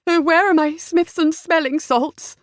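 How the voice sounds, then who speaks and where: A woman's voice: very high voice, steady tone, unhurried, dry recording, studio quality, voice pushed up. A woman voicing a fretful, melodramatic middle-aged society mother in a historical romance audiobook, pleading and overwrought. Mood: distressed.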